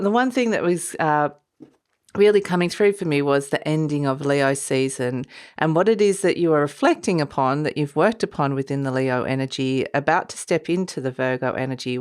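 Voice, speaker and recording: A woman doing a casual tarot reading, croaky and a bit congested from a cold, talking gently and rambling with soft laughs. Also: deep voice. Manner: gentle, meandering, laughs softly between thoughts. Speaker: tarot reader.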